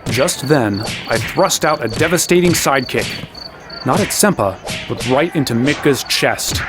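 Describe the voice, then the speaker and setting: A man's voice: medium pitch, expressive, unhurried, over music, close to an everyday voice. An actor playing an earnest young male fighter, telling his own story as narrator in a fantasy audio drama. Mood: earnest, recounting. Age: young adult. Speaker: first-person narrator.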